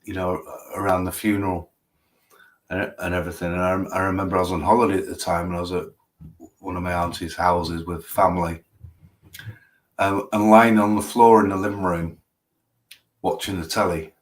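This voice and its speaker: A man co-hosting a livestream on a video call, hesitant, with lots of fillers and soft laughs. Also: low voice, little pitch movement, moderate pace, pauses often. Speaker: livestream co-host. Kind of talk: casual reminiscing chat.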